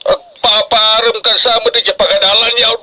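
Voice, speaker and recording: A man's voice: high-pitched, little pitch movement, normal speed, a crowd in the background, lightly acted, rough recording. A man playing a stern but doting middle-aged farmer father in a radio drama, with an Ilocano Filipino accent and emphatic delivery. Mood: worried, scolding.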